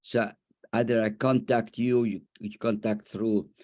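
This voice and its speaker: A man in his nineties from Iraq, speaking haltingly as he comments and asks questions in an online discussion. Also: on the low side, flat delivery, a little mellow. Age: over 90. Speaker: audience member, memoir author. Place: remote online video call.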